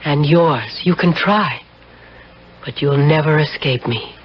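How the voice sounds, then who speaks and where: A woman's voice: low voice, takes it slowly. An actress playing an intense married woman who clings fatalistically to her lover, with dramatic, insistent delivery in a radio drama. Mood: fatalistic, insistent. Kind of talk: 1970s radio drama acting. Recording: muffled old radio broadcast, faint noise.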